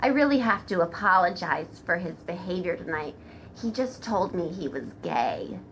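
A woman's voice: lowish voice, animated, moderate pace, a bit bright, slight background noise, rough recording. A woman guest telling anecdotes in casual, sweary, sardonic chat. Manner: sweary, sardonic, hesitant phrasing with frequent "like". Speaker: podcast guest. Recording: crowd chatter behind voice.

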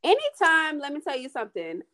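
A woman's voice: high voice, huge pitch swings, fairly quick, pushed and bright. A woman business owner talking in a casual chat, earnest and emphatic, often asking if you know what she means.

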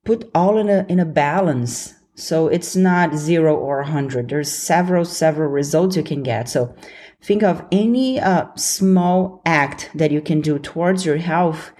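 Low-voiced Brazilian woman podcasting. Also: measured pace, mellow. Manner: earnest, encouraging, laughs easily. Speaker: podcast host. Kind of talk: solo motivational podcast talk.

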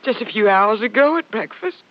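A woman acting as a distraught ranch wife in an old radio play. Her high voice is halting and emotional, with plain country phrasing, on a muffled recording. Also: animated, close to an everyday voice. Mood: upset. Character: distraught, defensive ranch wife, newly widowed. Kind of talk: 1950s radio drama acting.

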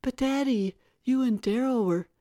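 A woman's voice: normal speed, mellow, no room sound, clean studio sound, close to an everyday voice. A woman voicing a frenzied, spiteful young woman who can slip into a wheedling little-girl voice in a dramatic story. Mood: pleading. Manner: shrill accusing outbursts, pleading whine.

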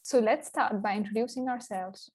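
Husky young woman from India hosting. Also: a little high, normal speed, darkish tone. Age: young adult. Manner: reads prepared questions, then chats with fillers. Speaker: podcast co-host, university student. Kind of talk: podcast interview hosting.

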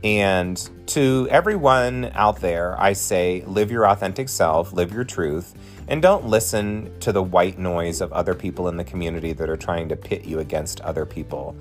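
A man's voice: low voice, moderate pace, soft background music. A man in his forties hosting a podcast, talking in an effusive, encouraging way straight to his listeners. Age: 40s. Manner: chatty, effusive and encouraging, addresses listeners directly. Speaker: podcast host and drag performer. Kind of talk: casual podcast monologue.

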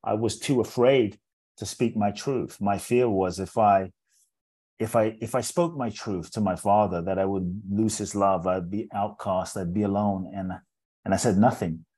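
A man in his forties who grew up in England, a speaker telling his life story as an interview guest in an earnest, measured way, often repeating words as he builds a thought. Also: on the low side, fairly even intonation, warm tone, slightly rough. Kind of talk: reflective personal storytelling in an interview.

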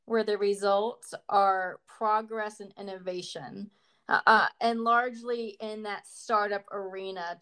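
A woman's voice: medium pitch, clean tone. A woman hosting an interview show, speaking in a conversational, enthusiastic and articulate way. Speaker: show host.

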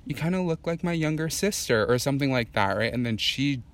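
A young man in casual podcast chat, blunt and quick to laugh, swearing freely. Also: mid-range voice, expressive. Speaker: podcast co-host.

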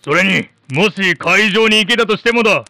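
Man acting a high, bright-voiced blunt retro townsman. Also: moderate pace, gravelly, soft background music, heavily acted. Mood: scolding, blunt. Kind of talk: Japanese radio drama acting.

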